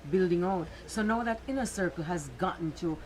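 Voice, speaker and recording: A woman's voice: fairly low pitch, fairly quick, low-quality recording. A woman interviewing a guest with short, encouraging prompts. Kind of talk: press conference interview.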